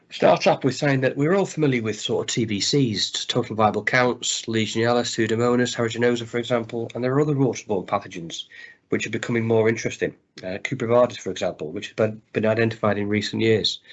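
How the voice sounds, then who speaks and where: A man with a cold-roughened, croaky voice giving a webinar presentation, halting with frequent fillers and restarts. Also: lowish voice. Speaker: guest speaker on water hygiene. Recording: dull lo-fi webinar audio.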